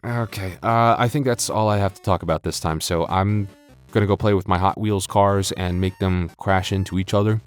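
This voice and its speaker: Joking, rambling American man, low voice. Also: steady tone, moderate pace, soft background music. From United States. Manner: joking, rambling, exaggerated comic exasperation. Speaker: audio roleplay creator and voice actor. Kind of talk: casual chatty outro to listeners.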